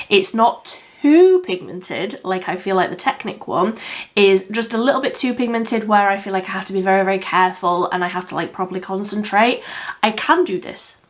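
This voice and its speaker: A woman with a slightly croaky voice from a cold, chatting candidly as a beauty vlogger reviewing makeup. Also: mid-range voice, normal speed. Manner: chatty and candid, with frequent fillers. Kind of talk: casual product review.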